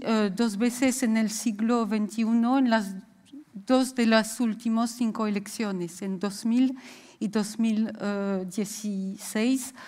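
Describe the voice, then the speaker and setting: A Belgian woman with a French accent giving a popular science talk in a measured, hesitant way. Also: on the high side. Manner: measured lecturing with frequent hesitations. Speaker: science speaker. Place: stage of a lecture hall.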